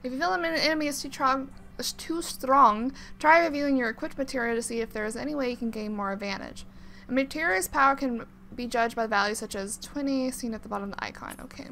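A woman's voice: sits high, quick pace, sharp tone. A woman hosting a let's play, commenting casually on a game and reading on-screen text aloud.

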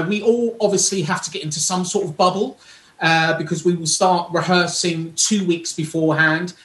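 A man's voice: fairly high pitch, a bit bright. A man with an English accent giving an upbeat promotional interview over a video call, theatrical and enthusiastic. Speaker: pantomime dame actor, interview guest. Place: small home room. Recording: roomy video-call sound.

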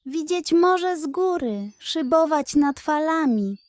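A woman's voice: high-pitched, animated, slow pace, darkish tone, no room sound, clean studio sound, pitched above the performer's own voice. A woman voicing a small, dreamy young female seal in a children's bedtime story, earnest and wistful.

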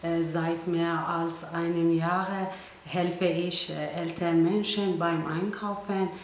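Low, slow woman in her thirties. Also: flat delivery, echoey room, a little hiss or hum. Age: 30s. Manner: hesitant learner speech.

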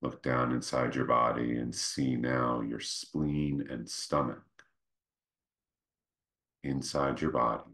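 A man leading a guided meditation, speaking gently and without hurry, in short phrases with pauses between them. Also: flat delivery. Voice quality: very deep, creaky. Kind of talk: guided meditation, slow soothing instruction.

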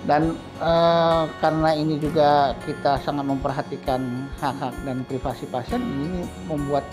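A middle-aged man speaks in measured interview answers, often pausing with fillers as he explains. He is recorded on a lapel mic inside a clinic, and clear background music plays under his voice. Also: on the high side, fairly even intonation. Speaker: hospital director. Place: indoor hospital clinic.

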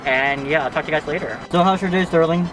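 A man's voice: on the high side, quick pace, music in the background, low-quality recording. A man talking casually to the camera as a vlogger, in an informal conversational way. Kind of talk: casual vlog chat. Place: inside a parked car and indoor mall.

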